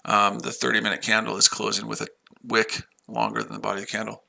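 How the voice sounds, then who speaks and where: A man's voice: on the low side, little pitch movement, quick pace, vocal fry, pushed and bright. An American man casually chatting on a livestream while trading, rambling and talking to his viewers. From United States. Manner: rambling, repeats himself, chats with viewers. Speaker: livestream trader.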